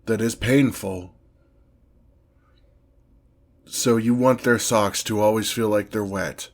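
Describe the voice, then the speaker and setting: A man playing an imperious ancient demon lord in an ASMR fantasy roleplay, speaking in a pompous, theatrical, drawn-out manner, menacing and easily exasperated by mortals. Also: lowish voice, fairly even intonation. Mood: incredulous, exasperated.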